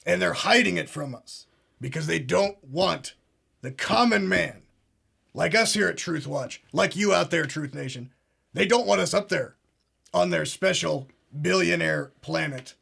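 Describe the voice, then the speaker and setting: A man doing a pompous conspiracy talk-show host with a gravelly, husky voice pitched a little high. He drives every line with self-important certainty and leans on "ladies and gentlemen" like a catchphrase. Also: measured pace, full character voice. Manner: pompous, keeps saying "absolutely" and "ladies and gentlemen". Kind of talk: comedic parody show.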